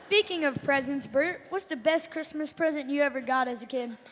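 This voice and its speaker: A high-pitched, jokey husband from a corny radio comedy duo , played by a woman, trading setups and punchlines in old-time stage banter. The recording is noisy, with the long reverb of a big hall. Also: fairly quick, fairly bright tone. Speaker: comedy double-act performer.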